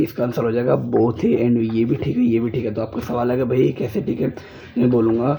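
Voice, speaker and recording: A man with an Indian accent and a sore, husky throat teaches an exam-prep chemistry class, repeating points and checking understanding. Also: medium pitch, warm tone, a little hiss or hum, rough recording. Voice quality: husky, gravelly. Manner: repetitive, checks understanding often, spells out words slowly. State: sore throat, frequent throat clearing. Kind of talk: exam-prep lesson with rhetorical questions.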